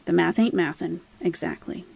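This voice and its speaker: A woman's voice: on the low side, moderate pace, creaky, a little mellow, breathy edge, poor audio. A woman hosting a casual live commentary stream, explaining things emphatically to viewers and asking rhetorical questions. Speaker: livestream host, YouTube commentator.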